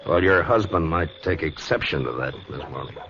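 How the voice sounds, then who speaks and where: Deep, laconic Old West lawman. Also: expressive, fairly quick, creaky, noisy background. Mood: firm, polite. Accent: American. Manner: laconic, hesitant, understated. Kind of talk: 1950s western radio drama acting. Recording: dull 1950s radio recording with music.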